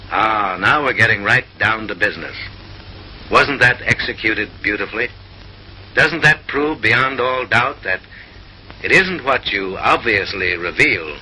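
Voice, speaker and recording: A man's voice: on the low side, pushed and bright, slight background noise, rough recording. A man narrating in a mid-century comic newsreel style, with an arch, mock-refined, theatrical delivery, on a vintage film soundtrack.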